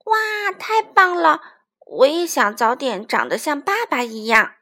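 Woman acting a little boy dinosaur, very high, swooping, childlike, clingy, adoring, storybook character. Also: big pitch swings, a little slow, bright, forceful tone, full character voice. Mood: excited, proud. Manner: childlike, exclaiming, pleading. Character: innocent, adoring baby ankylosaurus boy, clingy and cheerful.

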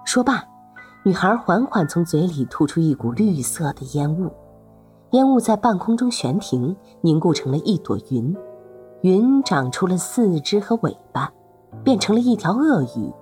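Woman narrator, lower pitch, animated delivery, dramatic scripted storytelling, faint background music. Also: moderate pace. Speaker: host and narrator.

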